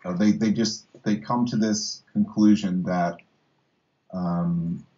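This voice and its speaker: A man in his thirties talking casually as an interviewer, haltingly searching for his words. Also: low-pitched, steady tone, dark tone, lo-fi sound, slight background noise. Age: 30s. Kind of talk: casual philosophical conversation.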